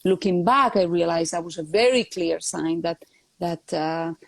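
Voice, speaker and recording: A woman from Barcelona with a Spanish Catalan accent talks as an interview guest. She speaks earnestly and fills pauses with restarts. The sound is close and dry, with no room at all. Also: on the low side, creaky, no echo. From Spain (Barcelona). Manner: earnest, with frequent fillers and restarts. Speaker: guest, education consultant. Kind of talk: conversational interview.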